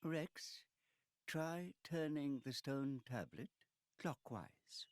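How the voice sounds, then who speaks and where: A man's voice: a little high, animated, drawn-out words, no room sound. A man playing a wise, grave old guardian grandfather in a video game, speaking in a solemn, cryptic manner. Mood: solemn, knowing. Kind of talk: dramatic video game acting.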